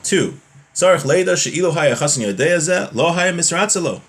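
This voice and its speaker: A man's voice: on the high side, expressive, normal speed. A man teaching a religious text in an informal, explanatory way, as if talking a student through it point by point. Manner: colloquial, explanatory, with rhetorical questions.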